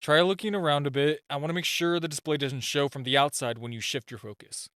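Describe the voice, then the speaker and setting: A man's voice: fairly high pitch, steady tone, fast talker, fairly bright tone, no echo, studio quality, natural voice. A man playing a super-shy, flustered nerdy gadget inventor in an amateur audio roleplay, nervous and prone to stammering. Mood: flustered, businesslike.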